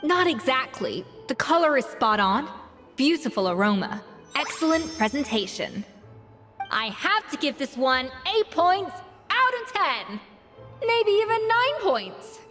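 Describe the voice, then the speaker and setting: A young, exacting master chef in a video game. Her voice sits fairly high, with a long reverb around it and faint music behind. She is blunt, crisp and imperious, like someone used to judging. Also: big pitch swings, measured pace, fairly bright tone, full character voice. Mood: approving. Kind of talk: video game character acting.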